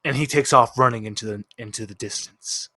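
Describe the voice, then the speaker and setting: A man's voice: medium pitch, a little fast, sharp tone, no room sound. A man acting as game master, casually narrating a tabletop game.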